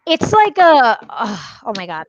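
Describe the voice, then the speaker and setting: High-voiced young woman from Puerto Rico, dull audio. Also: huge pitch swings, a little slow, dry recording. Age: late 20s. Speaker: co-host of a book live stream. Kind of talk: casual group chat. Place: indoor room at home, on a video call. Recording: dull, lo-fi video call audio.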